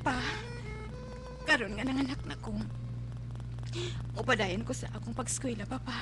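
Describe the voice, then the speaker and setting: A woman's voice: a little high, normal speed, lightly acted. A woman playing a young, lovesick student mother in a melodramatic radio drama, plaintive and emotive, with a Cebuano Filipino accent. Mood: subdued. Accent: Filipino, Cebuano-speaking. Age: young adult. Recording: background music in live radio capture.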